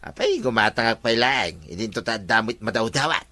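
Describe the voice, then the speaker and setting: A man's voice: medium pitch, lively intonation, moderate pace, pushed and bright. A man with an Ilocano accent playing a cheeky, vain village freeloader in a radio comedy drama. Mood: boastful.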